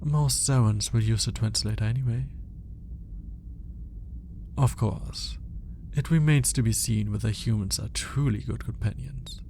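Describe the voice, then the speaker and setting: A man playing a cold, condescending alien scientist in an ASMR roleplay, speaking in a clinical, formal, deliberate way. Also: fairly low pitch, creaky, a little mellow, a little hiss or hum. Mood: clinical, intrigued. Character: cold, aloof male alien scientist studying a human captive.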